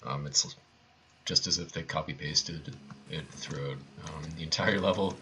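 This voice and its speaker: A man's voice: rumbling low, a little slow, bright, forceful tone, slight background noise. A man giving casual, wry live commentary while speedrunning a video game. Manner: sarcastic, wry.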